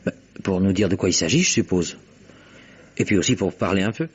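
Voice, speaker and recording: An earnest, argumentative friend in a French radio mystery, reasoning in quick colloquial speech. His voice is husky and the recording dull. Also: deep voice. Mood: matter-of-fact. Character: earnest, reasoning male friend, easily stung.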